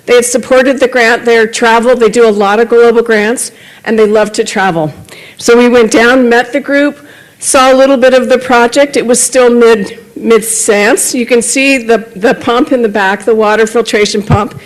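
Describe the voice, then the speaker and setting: A woman's voice: a little high, fairly even intonation, normal speed, poor audio. Woman reporting at a club meeting. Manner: conversational, with self-corrections and small laughs. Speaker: club member reporting on a grant project. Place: meeting room, at a podium.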